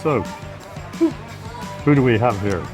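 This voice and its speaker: A man's voice: mid-range voice, slow pace, music in the background. A man interviewing a young woman, prompting her with friendly, joking questions. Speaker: interviewer, host. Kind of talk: casual interview. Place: coffee shop in a mall.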